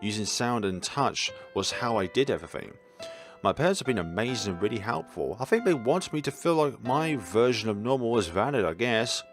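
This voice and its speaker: A man voicing a gentle, shy blind schoolgirl in a visual novel, with an earnest, hesitant delivery. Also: medium pitch, expressive, brisk, over music, natural voice.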